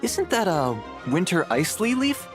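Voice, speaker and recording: A woman's voice: fairly low pitch, very animated, a little slow, over music. A woman voicing a casual, confident quiz attendee in an anime fantasy game. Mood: concerned.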